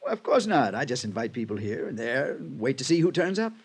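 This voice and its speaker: Flippant, lilting rich playboy. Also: male voice, medium pitch, very animated, fairly quick, slightly rough. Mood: delighted. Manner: breezy, genteel, amused, mannered. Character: flippant, carefree rich playboy, airy and philosophical. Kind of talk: classic radio drama acting. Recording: old radio broadcast recording.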